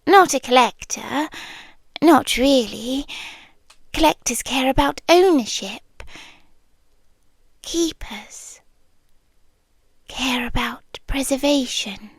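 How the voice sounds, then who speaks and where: A British woman playing a possessive, nonhuman yandere girlfriend in an ASMR roleplay, speaking softly and deliberately and often slipping into breathy whispers. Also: high voice, expressive, no echo, full character voice. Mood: tender, possessive.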